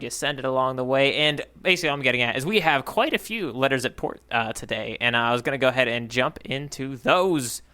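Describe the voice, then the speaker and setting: A man's voice: mid-range voice, pushed and bright, clean tone. A man co-hosting a casual podcast, talking in a playful way with rambling asides. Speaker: podcast co-host. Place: remote online podcast recording.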